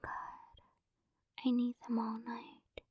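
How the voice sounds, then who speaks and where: A woman doing a whispered romantic ASMR roleplay, speaking softly and coaxingly, close to the microphone. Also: on the high side, monotone, slow pace, no echo. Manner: soft breathy whispering, affectionate and coaxing. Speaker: ASMR performer.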